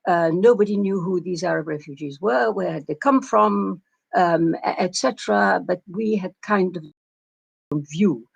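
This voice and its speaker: An older woman from Palestine delivers a keynote in a slow, deliberate way, with frequent pauses and hesitations. Her voice sits a little low and has a gravelly, husky texture. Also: poor audio. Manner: measured, reflective, with many pauses and fillers. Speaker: keynote speaker. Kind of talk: conference keynote talk.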